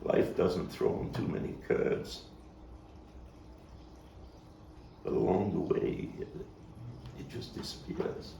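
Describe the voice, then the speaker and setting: A man performing a theatrical monologue as a street-wise, bookish Brooklyn man recalling a hard childhood, halting and reflective with dramatic pauses. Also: low voice, big pitch swings, gravelly, dark tone, exaggerated voice. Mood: pensive, grieving. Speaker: actor-playwright performing his monologue. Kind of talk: theatrical monologue at a table read. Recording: roomy, distant mic with faint noise.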